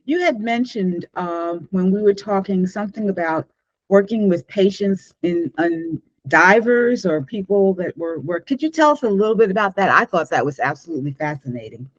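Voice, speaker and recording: A woman hosts a podcast and questions her guest with playful enthusiasm. Her pitch swings up and down freely. The sound is dry but dull and lo-fi. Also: lively intonation, moderate pace, no echo. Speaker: podcast host.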